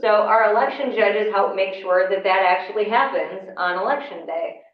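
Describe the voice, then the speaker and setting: A woman with a hoarse voice from a cold, explaining her work to a class in a conversational way with plenty of ums. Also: medium pitch, normal speed. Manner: conversational, explanatory, with frequent fillers. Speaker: county election official giving a class. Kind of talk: informal lecture. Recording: echoey room, mic far off, dull sound.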